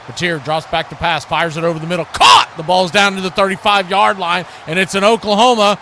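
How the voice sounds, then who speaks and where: A man's voice: high voice, a little fast. A man doing live football play-by-play in a folksy way, chatting to viewers between plays. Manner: folksy, excitable on big plays. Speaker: radio play-by-play announcer. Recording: clear background noise.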